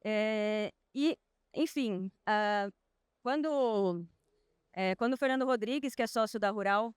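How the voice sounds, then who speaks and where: A woman's voice: mid-range voice, slow, clear, resonant tone, no echo, clean studio sound. A woman moderating a panel at a live event, introducing the session in a chatty way with many fillers and restarts. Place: outdoor stadium event stage.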